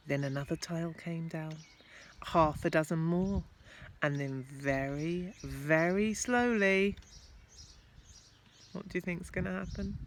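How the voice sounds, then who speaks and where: A woman reads a storybook to children expressively, adding chatty asides and questions to young listeners. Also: sits low. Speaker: primary school staff member reading to children. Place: at home, apparently outdoors in garden. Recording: clear background noise, amateur home recording.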